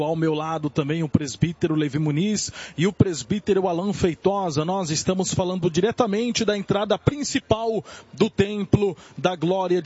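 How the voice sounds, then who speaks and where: Declamatory husky high-voiced Brazilian man. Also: a little mellow, dull audio. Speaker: church radio reporter and elder. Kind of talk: live evangelical radio reporting and interviewing.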